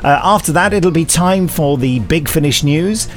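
A man's voice: fairly high pitch, normal speed. A man co-hosting an audio drama podcast, reading links with wry, self-mocking humour. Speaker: podcast co-host. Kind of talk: casual podcast chat.

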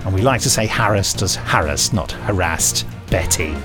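A man's voice: low voice, animated, normal speed, music in the background. A man co-hosting a podcast in casual, jokey chat, playfully stumbling over his words. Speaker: podcast co-host and actor.

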